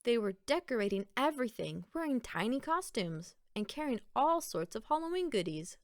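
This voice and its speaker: A woman narrating a children's picture book aloud in a deliberate, expressive storytelling manner. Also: fairly high pitch, no room sound, studio quality.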